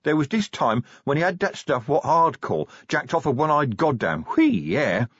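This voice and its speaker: Male narrator acting a dopey drug-addled troll, storybook fantasy, raised pitch, husky, hesitant and rambling. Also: sing-song, moderate pace, a bit bright, no room sound, lo-fi sound, heavily acted. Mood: rambling, dazed. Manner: hesitant, rambling, ungrammatical speech. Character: stick-thin, dim-witted, drug-addled young male troll.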